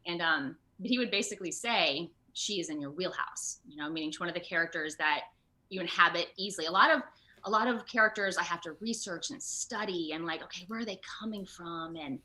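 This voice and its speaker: Female interview guest, lowish pitch, bright and forceful tone, animated conversational delivery. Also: a little fast, poor audio. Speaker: interview guest, voice and performance-capture actor. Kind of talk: casual video interview chat. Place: remote online video call.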